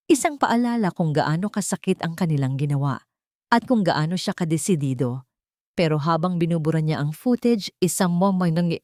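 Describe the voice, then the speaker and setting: A woman's voice: on the low side, a little mellow, no room sound, studio quality. A woman with a Filipino accent reading a scripted drama as an audiobook narrator, in a dramatic storytelling style.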